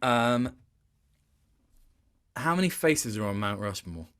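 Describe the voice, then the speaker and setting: A man's voice: medium pitch, animated, slow pace, fairly bright tone, clear, resonant tone. A man in his late twenties talking casually as an interview guest, rambling and correcting himself as he tells stories. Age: late 20s. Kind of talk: casual gaming interview chat.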